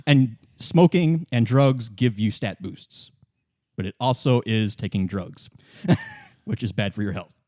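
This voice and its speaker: A man's voice: medium pitch, sing-song, slow pace, mellow, dry recording, studio quality. A man casually narrating a role-playing game as game master, explaining things in a conversational way.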